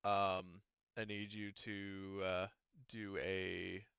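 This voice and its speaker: Bright, clear-voiced man running a game. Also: low voice, little pitch movement, drawn-out words, no room sound, lo-fi sound. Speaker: game master. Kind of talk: casual game narration.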